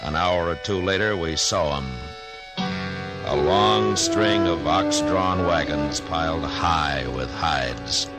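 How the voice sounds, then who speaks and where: A deep-voiced frontier marshal. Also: male voice, slow pace, loud music behind the voice, heavily acted. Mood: narrating. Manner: laconic, drawling, understated. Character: steady frontier marshal, grown man, calm and plainspoken. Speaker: narrator and lead character. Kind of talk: 1950s radio drama acting.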